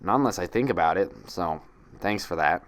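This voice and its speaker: A man's voice: low voice, animated, normal speed. Guy doing a young unicorn mare stuck as a patient, dry and irritable, self-pitying, storybook reading.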